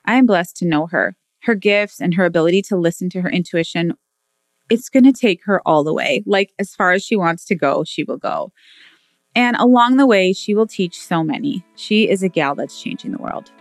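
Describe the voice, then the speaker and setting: A woman's voice: fairly low pitch, animated, moderate pace, no room sound, faint music behind. A woman hosting a podcast, reading an enthusiastic, admiring introduction of her guest. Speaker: podcast host.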